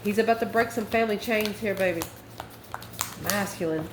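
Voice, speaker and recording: A woman with a mid-pitched voice hosts an informal tarot reading. She speaks in a folksy, emphatic manner, calling listeners by endearments. The recording has a roomy echo and a faint layer of background noise. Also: normal speed. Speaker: tarot card reader and channel host.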